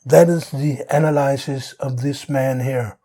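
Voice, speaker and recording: Slow-paced Danish man giving political commentary. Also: mid-range voice, steady tone, dark tone. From Denmark. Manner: halting delivery with non-native English phrasing. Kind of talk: opinionated political monologue.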